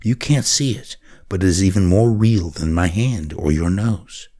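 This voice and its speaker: A gentle older grandmother speaks in a patient, soothing way as she explains things to a scared child, voiced by a man reading in his own deep, low voice. She is warm and steady, with a storybook feel. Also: moderate pace.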